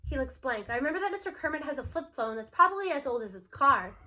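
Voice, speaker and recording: A woman reading a novel aloud as narrator, with expressive storybook delivery. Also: high-pitched, fast talker.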